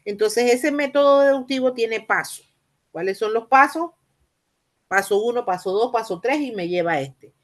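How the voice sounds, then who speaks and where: A woman giving a spoken lecture on education, explaining slowly with many fillers and restarts and addressing her listeners formally. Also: on the high side, animated. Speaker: university lecturer.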